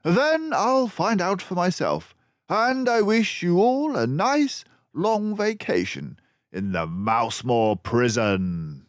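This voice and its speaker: Storybook mouse sleuth, male reader acting: gentlemanly, formal, pitched well up, slow declamatory delivery. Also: very animated, heavily acted. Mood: smug, teasing. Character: stern, calm, gentlemanly male mouse detective hero.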